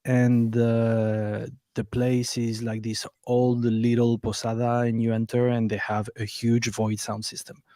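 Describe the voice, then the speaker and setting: A man's voice: medium pitch, completely flat delivery, measured pace, few pauses, mellow, no room sound. A man with a French accent, a guest on a podcast, speaking casually from his home studio over a video call and rambling with many fillers. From France. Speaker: guest DJ and producer.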